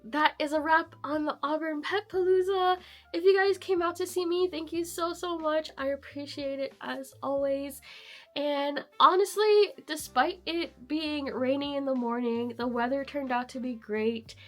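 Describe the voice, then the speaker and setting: Upbeat woman vlogger with a high, hoarse sick voice, chatting casually to camera. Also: unhurried, a bit bright. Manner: chatty, upbeat, frequent fillers. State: hoarse, sick and losing her voice. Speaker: vlogger and artist vendor. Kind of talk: casual vlog chat to camera.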